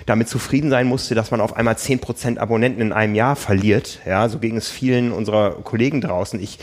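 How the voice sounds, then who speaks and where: A man hosting a podcast, talking casually and thoughtfully, often pausing with fillers. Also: fairly low pitch, steady tone, a little mellow. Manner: thoughtful, hesitant with many fillers. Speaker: podcast host and magazine editor.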